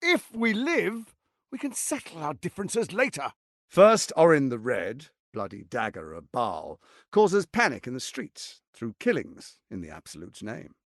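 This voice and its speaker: A man playing a smooth-tongued, condescending tyrant lord in a video game, with a pompous, theatrical delivery and deliberate emphasis. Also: on the high side, wildly swooping pitch, dry recording, studio quality, heavily acted. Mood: persuasive, ingratiating.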